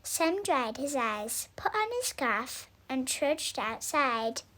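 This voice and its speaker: A young woman reading a children's story aloud in an expressive, deliberate way, drawing out words for emphasis. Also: high voice. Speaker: storybook reader.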